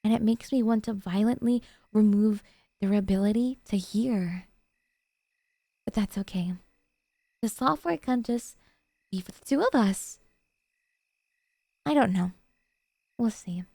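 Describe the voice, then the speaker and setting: A woman playing a doting, jealous yandere girlfriend in an ASMR roleplay, coaxing and sweetly earnest with hesitant pauses. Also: mid-range voice, gravelly, warm tone, no room sound. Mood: earnest, possessive.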